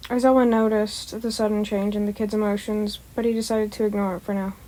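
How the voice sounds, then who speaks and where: Expressive woman reading a story aloud. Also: medium pitch, brisk, rough recording. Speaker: fan fiction narrator.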